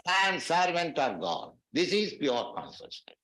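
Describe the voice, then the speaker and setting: Man lecturing slowly in an echoey hall. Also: fairly high pitch, wildly swooping pitch, fairly bright tone. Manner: slow, measured phrasing with Sanskrit verses. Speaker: spiritual teacher giving a lecture. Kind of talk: recorded philosophy lecture.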